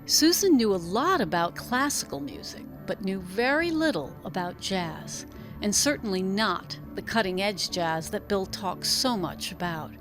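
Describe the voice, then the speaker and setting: A woman's voice: medium pitch, lively intonation, unhurried, over music. A woman reading a literary story aloud as an audiobook narrator, in scripted narration.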